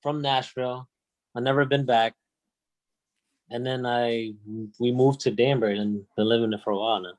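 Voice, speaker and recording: A man from Tennessee speaks as a guest on a podcast, soft-spoken and hesitant. His voice sits at a middle pitch and the sound is dry. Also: steady tone, moderate pace, dry recording, rough recording. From Tennessee, United States. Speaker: guest, music producer. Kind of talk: casual podcast chat.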